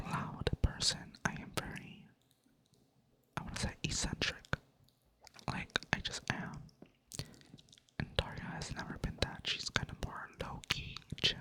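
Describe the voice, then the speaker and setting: A creator whispering ASMR-style in a monotone, rambling casually while playing a game at a computer. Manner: monotone delivery; rambling, casual, self-mocking. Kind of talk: whispered ASMR chat over gameplay.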